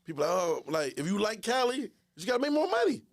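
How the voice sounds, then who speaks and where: A man's voice: sits high, huge pitch swings, fast talker. A man in a casual podcast chat, speaking bluntly and with profanity, offering matter-of-fact life philosophy.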